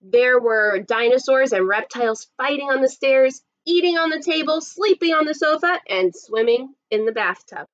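A woman's voice: high-pitched, lively intonation, moderate pace, hardly any pauses, lo-fi sound. A woman in her thirties, a kindergarten teacher, reading a storybook expressively to young children. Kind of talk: read-aloud storybook narration for young children. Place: small indoor room set up as a classroom.

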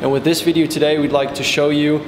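A man in his twenties giving scripted instructional narration as a technical trainer, explaining each step in a measured way. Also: on the high side, level tone, noisy background. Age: 20s. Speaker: technical support and customer trainer. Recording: echoey, reverberant sound with background music. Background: background music.

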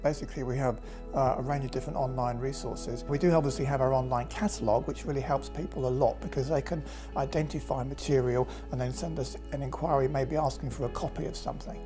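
A man in his fifties describing enquiry work in a brisk, practical documentary interview. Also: medium pitch. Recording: clear music underneath.

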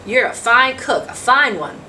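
A woman's voice: fairly high pitch, lively intonation, a little slow, sharp tone, voice pushed up, exaggerated voice. Storybook frontier dad, read by a woman: hospitable, practical, country dialect. Faintly noisy, distant mic. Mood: welcoming. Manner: folksy rural dialect, practical and welcoming. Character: hospitable homesteader father, plain-talking frontier man.

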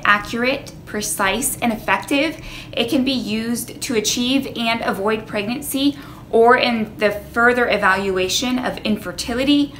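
A woman's voice: mid-range voice, bright, forceful tone, slight background noise, low-quality recording. A woman in her thirties talking earnestly to a camera in a personal vlog, sharing her own story with many fillers. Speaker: wellness coach. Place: indoor room, plain wall behind. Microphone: camera in front, medium framing.

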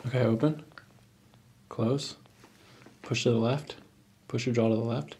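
A man in his thirties, a chiropractor, calmly giving coaxing hands-on instructions to a patient. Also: mid-range voice, measured pace, noisy background. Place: small office, indoors. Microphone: microphone on boom stand.

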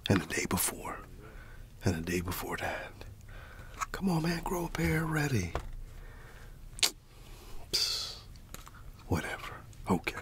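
A man performing an ASMR roleplay as a laid-back, teasing flatmate, speaking in a hushed, casual, slangy way with repeated phrases. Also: lowish voice, unhurried, pauses often, background noise. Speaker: ASMR performer playing a roommate. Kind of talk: ASMR roleplay, whispered storytelling and one-sided chat.

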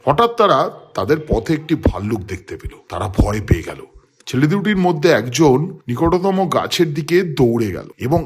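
A man with a husky voice narrating a scripted story for children. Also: mid-range voice, big pitch swings, moderate pace.

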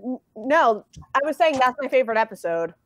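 Female, notably high voice, casual conversational delivery, dry recording with no room sound. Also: big pitch swings, a little fast, dry recording. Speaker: voice actor in a comic dub cast. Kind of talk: casual chat.

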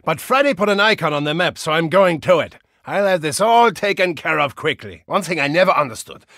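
A boisterous German soccer hooligan from a video game, with a bright, forceful edge and plenty of gravel. His German-accented delivery is bombastic and comic, a brawler with a soft side. The sound is close and dry. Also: fairly high pitch, lively intonation, brisk, dry recording. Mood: blustering, chatty. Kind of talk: animated video game character lines.

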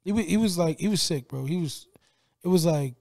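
A young man with a low raspy voice, a rapper guest talking casually in a slangy, rambling way. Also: expressive, fairly quick, a little mellow, no echo, clean studio sound. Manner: slangy, rambling, lots of filler. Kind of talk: casual podcast chat.

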